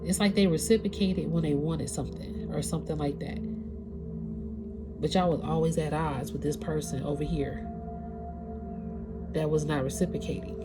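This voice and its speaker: A woman's voice: deep voice, fairly even intonation, normal speed, long pauses, over loud music. Stuffy-nosed woman giving a casual reading. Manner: casual, conversational, searching for words. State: congested, stuffy nose. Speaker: tarot reader. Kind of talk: spiritual reading for a collective audience.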